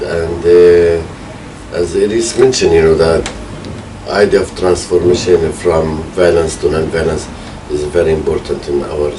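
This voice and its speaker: A middle-aged man from Palestine with a Palestinian Arabic accent, explaining his activism hesitantly, with many pauses. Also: low-pitched. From Palestine, Jerusalem area. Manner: hesitant, frequent pauses and hedging fillers. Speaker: peace activist, organization co-founder. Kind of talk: explanatory interview answer. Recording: distant mic, faint background noise.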